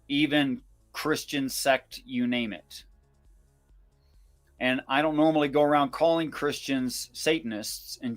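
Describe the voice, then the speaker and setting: Man explaining scripture over music. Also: mid-range voice. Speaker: Bible discussion co-host. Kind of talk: informal scripture commentary, reading verses aloud.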